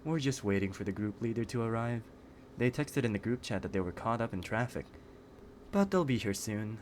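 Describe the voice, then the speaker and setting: A man acting a shy, guarded young guy with a fairly low voice. He speaks earnestly and with restraint, as in an intimate audio roleplay. There is noise behind the voice. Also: animated, brisk, darkish tone, natural voice. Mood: friendly. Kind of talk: scripted ASMR roleplay acting. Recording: clearly noisy background.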